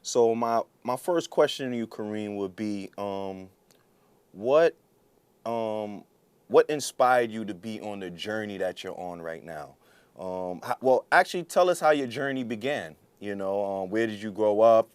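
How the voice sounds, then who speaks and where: Low-pitched man hosting a casual interview. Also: expressive, measured pace, a little mellow, clear, resonant tone, no echo. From born in Guyana, came to the US at seven. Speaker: interviewer, co-host.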